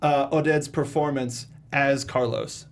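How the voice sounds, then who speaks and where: A man's voice: medium pitch, steady tone, measured pace, slight background noise. A man hosting a casual film discussion, informal and often groping for his words. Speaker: talk show host.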